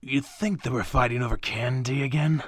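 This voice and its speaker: A woman's voice: very deep voice, moderate pace, slight background noise. A woman playing an irritable, brooding, sardonic villain boss in an audio drama, terse and exasperated. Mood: dry.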